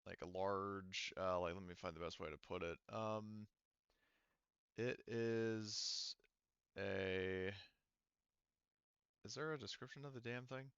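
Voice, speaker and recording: A man with a low, clean voice acts as game master, describing scenes off the cuff. He gropes for words as he goes. The sound is close and dry, though slightly dull. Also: a bit bright, smooth, no room sound. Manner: halting, searching for words while describing scenes. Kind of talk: improvised narration of a tabletop roleplaying game.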